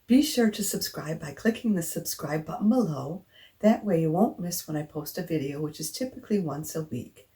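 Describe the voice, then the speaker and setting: A woman's voice: low voice, animated, moderate pace, mellow, breathy edge. A woman with a slightly scratchy voice from a cold, patiently explaining each step of a crafting tutorial as a craft demonstrator.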